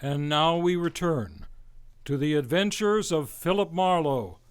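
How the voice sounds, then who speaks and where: A male announcer presents a radio drama in an old-time scripted style. He speaks slowly, with a voice a touch higher than average.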